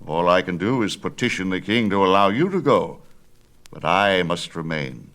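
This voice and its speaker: A man as a grave old craftsman, deep and emphatic. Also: expressive, normal speed, a bit bright. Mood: grave, resigned. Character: cautious old master craftsman, a fond father. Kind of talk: dramatic storybook reading.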